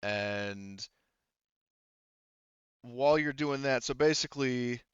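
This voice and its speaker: A man narrating a tabletop roleplaying game as game master in a halting, conversational way. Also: medium pitch, smooth, dry recording, lo-fi sound.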